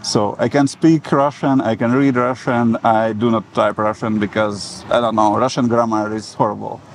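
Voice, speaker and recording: Male vlogger, forties, Latvian accent, fairly low voice; casual, plain-spoken answers recorded on location with noticeable background ambience. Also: level tone, normal speed, poor audio. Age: 40s. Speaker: vlogger and iOS developer answering viewer questions. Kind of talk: casual question-and-answer vlog. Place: various travel locations, mostly outdoors, some stone halls and a cafe. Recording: clear ambient noise on location.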